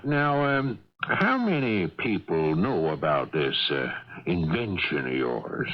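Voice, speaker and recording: Gruff, low-voiced company boss. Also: male voice, very animated, takes it slowly, full character voice. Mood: shrewd, calculating. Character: gruff, shrewd, skeptical older male company boss. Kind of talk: radio drama acting. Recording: muffled old radio broadcast.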